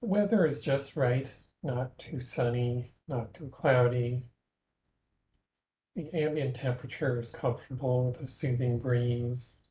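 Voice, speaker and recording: Man, meditation guide, dark relaxed tone, medium pitch, gentle measured delivery, long pauses, guided imagery. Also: poor audio.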